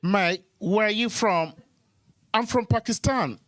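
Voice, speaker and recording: A man's voice: high voice, slow pace, a bit bright, no room sound, clean studio sound. A man from Kenya doing conversational stand-up on a club stage microphone, hesitating and repeating words as he goes. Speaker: stand-up comedian. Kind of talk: live stand-up comedy, conversational storytelling with crowd work.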